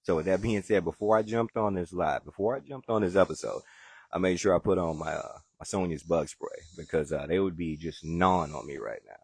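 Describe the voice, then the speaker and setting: A man's voice: low voice, fairly quick, gravelly, a little mellow, husky, no echo. A man with a Southern accent, hosting a live gardening chat outdoors in his backyard and answering viewer questions in an easygoing, chatty way. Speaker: gardening show host.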